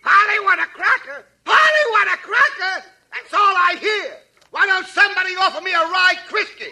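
Shrill, cheeky parrot. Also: male voice, moderate pace, sharp tone, full character voice. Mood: cheeky squawking. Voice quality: shrill, squawky. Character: squawking, cheeky, wisecracking ship's parrot. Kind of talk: 1940s radio comedy acting. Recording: vintage 1940s radio recording.

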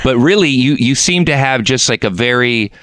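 A man's voice: mid-range voice, animated, normal speed, a bit bright, dry recording. A man from Mexico hosting a podcast, chatting casually and joking as he talks with his guest. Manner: chatty, jokey, rambling. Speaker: podcast host. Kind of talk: casual interview chat. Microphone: studio mic.

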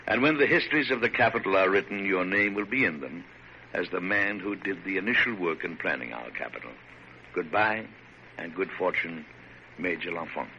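An old, dull-sounding radio recording with some noise carries a fatherly American president. The voice is on the low side, dignified and kindly, with stately phrasing. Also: expressive, normal speed, pushed and bright, full character voice. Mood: kindly, solemn. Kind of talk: old-time radio drama acting. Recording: noisy, band-limited old radio recording.

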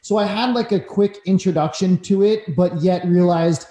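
A man's voice: high voice, normal speed, dark tone. A man in his forties from northern California, telling his story casually as a podcast guest. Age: 40s. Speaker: podcast guest, real estate broker. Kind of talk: casual chat, personal storytelling. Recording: roomy echo.

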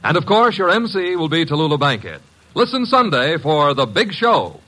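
A man announcing in 1940s radio style, with a booming, showy promotional delivery. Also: sing-song, moderate pace, sharp tone, rough recording.

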